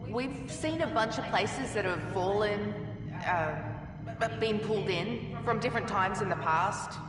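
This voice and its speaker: Lively, sardonic woman played by a voice actress. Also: medium pitch, a little slow, natural voice. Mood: curious, casual. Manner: casual, wry, exasperated. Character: sharp-tongued, teasing, sardonic adult partner. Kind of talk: video game voice acting. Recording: echoey hall, distant, music behind.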